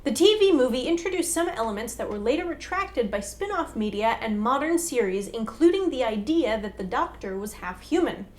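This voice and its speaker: A woman's voice: on the high side, big pitch swings, brisk, few pauses. A young adult woman, co-hosting a scripted explainer to camera. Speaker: co-host. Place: small indoor room set with whiteboard.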